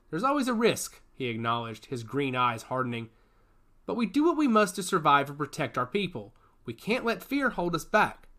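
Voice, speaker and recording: A man reading a science fiction story aloud as a narrator, shifting his pitch up for the characters' lines. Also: pushed and bright.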